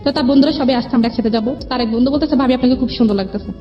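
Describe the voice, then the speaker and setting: Fast-talking young woman, higher-pitched. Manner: rambling, repeats herself, quotes conversations. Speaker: guest telling own life story. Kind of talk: personal storytelling in an interview. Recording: dull lo-fi audio with music behind.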